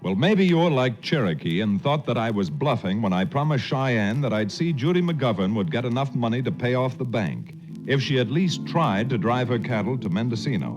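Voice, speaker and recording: An actor playing a resolute, confident western hero who also narrates, in a 1940s radio drama style. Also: male voice, mid-range voice, close to an everyday voice. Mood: narrating. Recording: muffled 1940s radio recording, crowd-like background.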